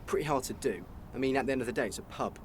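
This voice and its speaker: A man's voice: mid-range voice, rapid-fire, lightly acted. A man acting an apologetic, consoling friend in a radio soap, with faint traffic noise behind. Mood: questioning. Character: apologetic, consoling male friend offering shelter.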